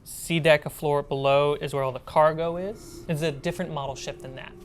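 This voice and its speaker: A woman's voice: sits low, steady tone, fairly quick, slight background noise. A woman running a tabletop game as game master, explaining and narrating in a casual, improvised way. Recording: loud music behind the voice. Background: loud background music.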